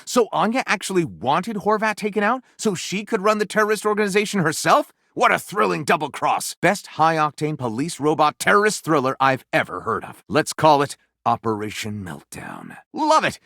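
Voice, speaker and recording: Theatrical pulp storyteller, grandiose and gushing, very lively pitch swings, dry close sound, game character. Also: male voice, normal speed, creaky, hardly any pauses, dry recording, exaggerated voice. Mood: gushing, delighted. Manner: grandiose narration switching to gushing excitement. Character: flamboyant, theatrical storyteller co-writing lurid pulp novels. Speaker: video game voice actor. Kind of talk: animated dramatic story narration for a game.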